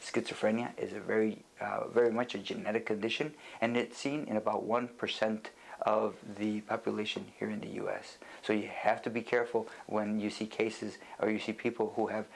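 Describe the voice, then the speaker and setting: A man speaking as a doctor in an unscripted educational lecture, explaining things in plain words with a halting, deliberate delivery. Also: on the low side, little pitch movement, vocal fry, low-quality recording.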